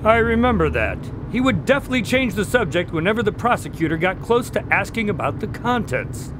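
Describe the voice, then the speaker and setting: A man's voice: high voice, sing-song, brisk, background noise. A man playing a genial, polite doctor and storytelling sidekick in an audio drama. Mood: curious, helpful. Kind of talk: audio drama dialogue and narration.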